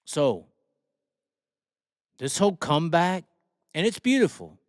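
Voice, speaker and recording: A man's voice: on the high side, very animated, a little slow, dry recording, studio quality. A man giving opinionated racing commentary in a brash, emphatic, profanity-heavy way, repeating phrases to drive them home. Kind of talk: opinionated solo rant commentary.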